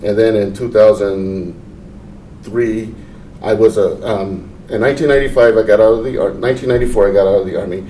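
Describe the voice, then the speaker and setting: A middle-aged man from Portland telling his life story in a casual interview, unhurried and halting, with many fillers and restarts. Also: fairly low pitch, mellow, a little hiss or hum. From Portland, Oregon. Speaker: interview guest, veteran. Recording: roomy, mic at a distance.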